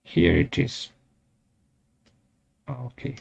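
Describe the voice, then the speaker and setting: A man presenting a step-by-step software tutorial in short, measured, halting phrases. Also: medium pitch, lively intonation, gravelly, husky. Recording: dull lo-fi audio.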